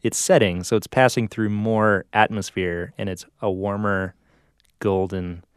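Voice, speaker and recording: A man's voice: fairly low pitch, fairly even intonation, measured pace, clean tone, no echo, clean studio sound. A man co-hosting a casual podcast chat, agreeable and given to light banter. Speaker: podcast co-host; filmmaker.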